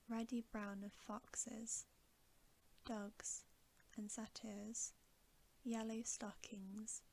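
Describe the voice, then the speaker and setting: Female ASMR reader for bedtime stories: hushed, gentle whisper, very slow pacing, even intonation. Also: mid-range voice, rough recording. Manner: soft, gentle, hushed and unhurried.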